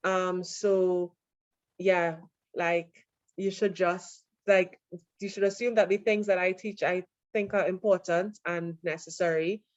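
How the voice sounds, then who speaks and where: A woman lecturer giving an online class, relaxed and full of fillers as she answers questions. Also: on the low side, takes it slowly, dry recording. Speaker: university lecturer. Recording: dull lo-fi online audio.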